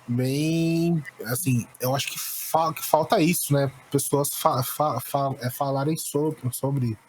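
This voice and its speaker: Male podcast host, Brazilian accent, medium-pitched, informal, stumbling delivery with repeated word starts, faint noise behind. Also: steady tone, takes it slowly, low-quality recording. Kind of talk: casual chat.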